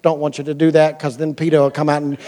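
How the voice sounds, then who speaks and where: An American male pastor delivers a sermon in a notably high-pitched voice. His manner is conversational and colloquial. He leans on repeated phrases and rhetorical questions to press each point. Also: little pitch movement, fast talker, warm tone. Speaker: pastor preaching to a congregation.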